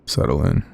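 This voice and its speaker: An Australian man playing a kind sleep paralysis demon in a roleplay audio, speaking gently and informally with a gravelly, breathy voice to soothe and reassure. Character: kind, supportive male sleep paralysis demon watching over someone.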